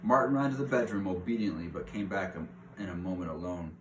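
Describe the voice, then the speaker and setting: A man's voice: low voice, a little fast, distant mic. A man reading a children's book aloud as narrator. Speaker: story reader. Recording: roomy echo, clear background hiss.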